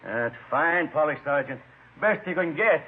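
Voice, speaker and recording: A man's voice: on the high side, expressive, unhurried. An actor playing a folksy, evasive shoeshine man in an old radio crime drama, speaking hesitant, repetitive broken English. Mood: obliging. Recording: scratchy, phone-like vintage radio recording.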